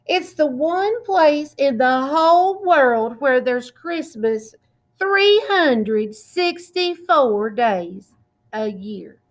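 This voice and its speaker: A woman reading a children's picture book aloud in a deliberate, expressive way, drawing out words. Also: sits high. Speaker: children's storytime reader.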